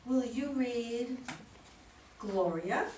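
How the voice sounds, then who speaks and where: A woman's voice: on the high side, expressive, drawn-out words, darkish tone. A woman casually and hesitantly organizing a group play reading. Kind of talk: casual announcing, assigning parts. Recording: echoey room from far off, faint noise.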